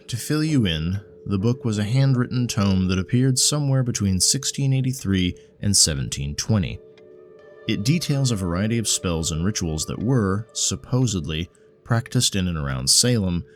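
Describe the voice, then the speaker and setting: Bookish occult scholar for audio drama, performed by a male narrator: pedantic, earnest, slightly exasperated, lifted above a very low natural pitch, music underneath. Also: animated. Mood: patient lecturing. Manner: measured, pedantic, explanatory.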